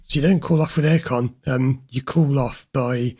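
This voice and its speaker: Husky-voiced man explaining therapy ideas. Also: mid-range voice, steady tone, moderate pace, darkish tone. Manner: direct, explanatory, mildly amused. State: slightly hoarse from recording many videos. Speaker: host, therapist analysing a recorded session. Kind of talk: commentary over an interview clip.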